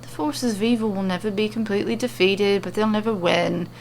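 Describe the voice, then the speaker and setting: A woman's voice: mid-range voice, fairly quick, gravelly, slight background noise, close to an everyday voice. A woman voicing a cocky, world-weary female champion with a boastful, dispassionate manner in an audiobook-style fantasy story. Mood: weary, dismissive. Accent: attempted Australian.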